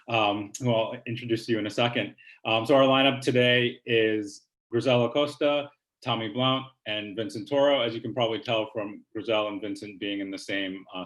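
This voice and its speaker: Man, lowish voice, easygoing online reading host, lots of fillers, video call from home. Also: low-quality recording. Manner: relaxed, hesitant, many um and uh fillers. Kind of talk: hosting a virtual poetry reading. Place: home, kitchen table or similar room.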